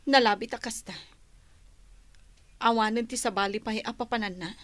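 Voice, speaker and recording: A woman plays a young well-born lady in a radio drama, troubled and searching. Her voice is fairly high, recorded dry and close. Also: fairly even intonation, moderate pace, dry recording, close to an everyday voice. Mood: worried, wistful. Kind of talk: Ilocano radio drama acting.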